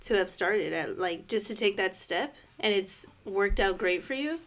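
A woman's voice: mid-range voice, animated, a little slow, a bit bright. A young woman hosting a podcast, upbeat and chatty, peppering her speech with "like". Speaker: podcast host. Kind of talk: casual podcast chat.

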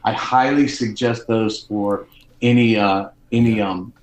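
A man's voice: on the low side, steady tone, a little slow. A man talking casually as an interview guest, recorded in an echoey room. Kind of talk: casual chat. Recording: roomy, echoing sound.

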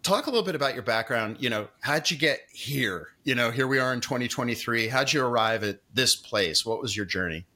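Bright-voiced male podcast host. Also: medium pitch, animated, a little fast, few pauses. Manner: friendly, conversational with "you know". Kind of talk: interview hosting, scripted intro.

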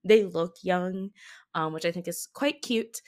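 A woman's voice: on the low side, a little slow, darkish tone. A woman co-hosting a casual podcast chat, often chuckling as she talks. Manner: giggly, chuckles while talking. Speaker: podcast co-host discussing anime.

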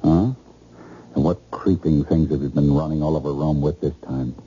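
A man's voice: a bass voice, steady tone, normal speed, mellow, breathy edge. An actor playing an anxious, jealous, well-to-do stockbroker in an old-time radio drama, agitated and repeating his words insistently. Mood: tense, suspicious. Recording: muffled, noisy old radio recording.

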